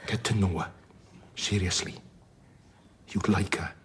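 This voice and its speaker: An actor playing a sardonic, blunt, worldly doctor in a radio drama, wry and plain-spoken with a husky voice. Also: male voice, sits low, steady tone, unhurried, exaggerated voice. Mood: grave, heartfelt. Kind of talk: radio drama acting with narration.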